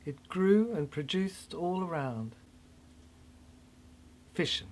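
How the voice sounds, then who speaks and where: A woman's voice: lowish voice, lively intonation, slow pace, darkish tone. A woman performing a theatrical dramatic monologue as an unhinged mad scientist in an asylum, rambling obsessively in repetitive fragments. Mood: brooding, subdued. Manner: stammering, repetitive, fragmented delivery.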